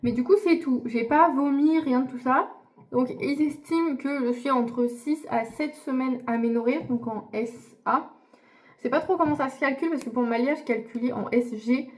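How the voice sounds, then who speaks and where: A woman's voice: high-pitched, normal speed. A young woman from France talking casually to camera in a diary vlog, chatty, with many fillers and restarts. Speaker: vlogger.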